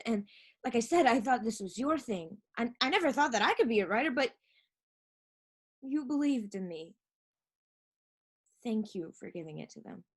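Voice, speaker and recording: A woman playing an earnest, insecure young aspiring writer in a stage play, with a halting delivery. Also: a little high. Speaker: stage actor. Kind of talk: theatrical one-act stage play acting.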